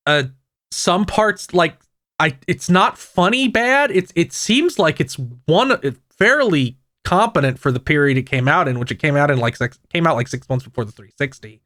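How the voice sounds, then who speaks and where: A man co-hosting a casual gaming podcast, talking in a rambling way with frequent restarts and quick asides. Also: a little high, animated, a bit bright, no room sound, studio quality. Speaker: podcast co-host.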